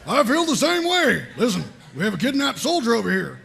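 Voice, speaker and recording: A gravelly, very high-pitched sergeant for a comedy script. He is gruff, bossy and pompous, barking orders in wide, animated swings over faint background noise. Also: fairly quick, full character voice. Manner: barking, pompous military commands. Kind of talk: comedy script table read.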